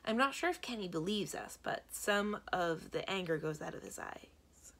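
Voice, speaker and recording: A woman's voice: lowish voice, lively intonation, moderate pace, a bit bright. A woman reading a children's book aloud as a library storyteller, narrating expressively.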